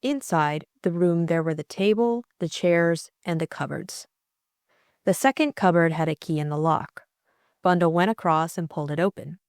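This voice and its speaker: A woman's voice: lowish voice, moderate pace, a little mellow, no room sound, clean studio sound. A woman narrating a classic mystery novel as a scripted audiobook reading.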